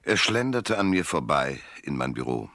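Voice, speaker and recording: An actor playing a wry, laconic private detective who narrates and talks in a German noir radio drama. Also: male voice, extremely low pitch, very animated, normal speed, pushed and bright, full character voice. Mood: wry narrating. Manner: laconic, sardonic. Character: hard-boiled, wry male private detective and first-person narrator.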